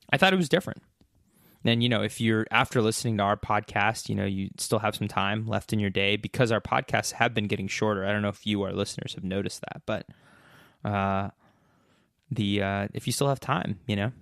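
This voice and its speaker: A man's voice: lowish voice, gravelly, fairly bright tone, no room sound, clean studio sound. A man co-hosting a podcast in casual chat, rambling with lots of fillers. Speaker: podcast co-host.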